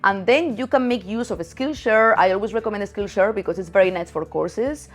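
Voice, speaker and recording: A woman's voice: mid-range voice, a little fast, faint music behind. A woman in her thirties from Spain with a Spanish accent, talking frankly and chattily to camera as a photography vlogger, laughing easily. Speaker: photography youtuber. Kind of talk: casual direct-to-camera talk.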